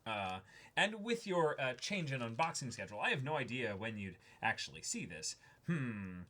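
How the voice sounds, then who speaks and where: A young man with a bright, forceful voice and very lively intonation reads a letter aloud. He slips in casual asides as a show co-host and sometimes trips over a word and corrects himself. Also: mid-range voice, normal speed. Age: young adult. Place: small indoor room, seated before a backdrop.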